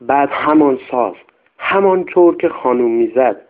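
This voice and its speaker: A man's voice: mid-range voice, lively intonation, natural voice. A man voicing an old, hunched female servant who is superstitious and fearful, in a tinny radio recording. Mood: frightened.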